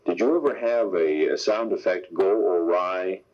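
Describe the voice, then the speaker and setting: A man's voice: deep voice, moderate pace. An American man hosting a radio program, presenting and interviewing. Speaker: radio show host and interviewer. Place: radio broadcast. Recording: slightly muffled FM radio tape.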